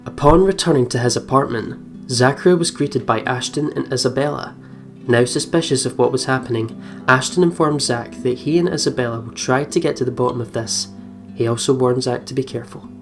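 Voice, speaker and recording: Chatty, giggly game host over soft music. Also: medium pitch, brisk. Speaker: let's play host. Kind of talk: casual chat and reading aloud over game. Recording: music behind the voice. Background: plucked guitar game music.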